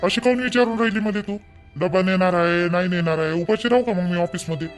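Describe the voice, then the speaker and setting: Man acting as young cartoon village husband, high male voice, Vidarbha Marathi accent, blunt, bossy, impatient. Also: fast talker, soft background music, close to an everyday voice.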